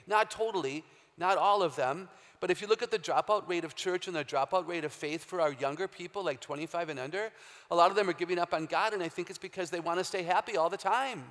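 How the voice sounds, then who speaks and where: A woman with a very low, deep voice giving an emphatic, humorous faith talk as a guest speaker, quizzing a live audience in a large hall. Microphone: handheld or stage microphone. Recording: long hall reverb, live stage talk.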